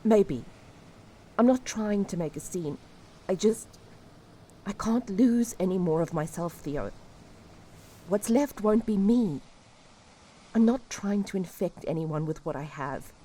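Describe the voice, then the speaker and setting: A woman playing a despairing, resigned helpline caller in an audio drama, halting and wistful. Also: mid-range voice, lively intonation, warm tone, slight background noise. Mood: despairing, resolute. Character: despairing, resigned infected woman saying farewell. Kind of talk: audio drama phone-call acting.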